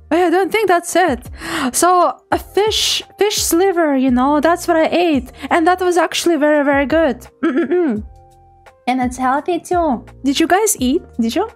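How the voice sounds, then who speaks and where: A young woman streaming as a VTuber, chatting casually with her viewers and reading their messages in a giggly, playful, animated way. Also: high-pitched.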